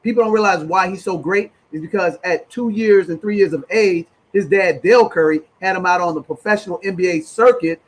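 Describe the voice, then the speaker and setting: Man, high-pitched, interview host, effusive, conversational, says "man" and "brother" often. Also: fairly quick, few pauses, rough recording.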